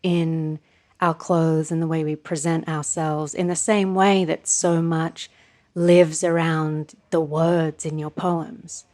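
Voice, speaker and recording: A woman hosting a podcast interview, asking measured, admiring questions. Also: deep voice, flat delivery, darkish tone. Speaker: podcast host.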